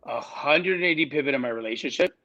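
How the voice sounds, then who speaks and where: A middle-aged man from Miami tells his story on a podcast, his voice bright and forward. He talks casually and halts often, restarting phrases as he goes. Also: mid-range voice, brisk, highs cut off. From Miami, United States. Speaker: podcast guest.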